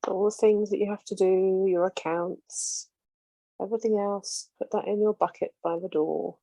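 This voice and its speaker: A woman leading a guided meditation with slow, soothing, gentle instructions. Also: mid-range voice, steady tone, creaky, no room sound, poor audio.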